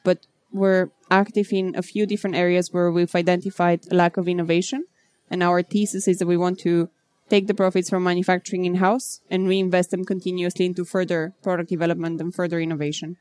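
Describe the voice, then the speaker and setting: A woman in her thirties from Bulgaria speaks as a company founder in an interview. Her voice sits low and steady with little rise and fall, smooth and clear. She answers in a composed, businesslike manner, recorded close and dry with no room sound. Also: fairly quick, no room sound. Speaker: startup founder.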